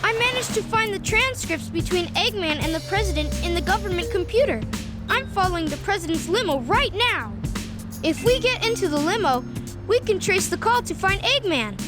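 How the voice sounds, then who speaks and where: A very high, lively little boy fox in a cartoonish game. He is an earnest, eager sidekick who explains keenly over loud music. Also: normal speed. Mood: earnest, curious. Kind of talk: cartoonish video game acting.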